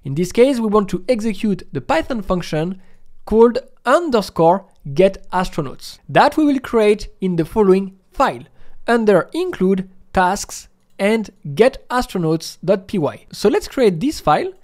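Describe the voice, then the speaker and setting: Man, middle-aged, high voice, very varied pitch, step-by-step tutorial delivery, instructional. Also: sing-song, a little slow, a little mellow. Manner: instructional, explaining each step. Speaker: programming tutorial presenter.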